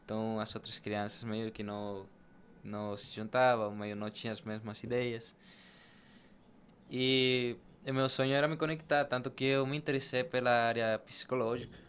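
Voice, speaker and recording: A man's voice: medium pitch, steady tone, moderate pace, fairly bright tone, smooth. A young man from Latin America, telling his personal story conversationally as a podcast guest.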